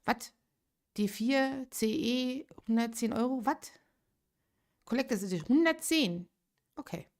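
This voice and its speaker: A woman's voice: a little high, measured pace, pauses often. A woman in her late thirties chatting casually on a live game stream, thinking aloud and answering viewers' chat. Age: 30s.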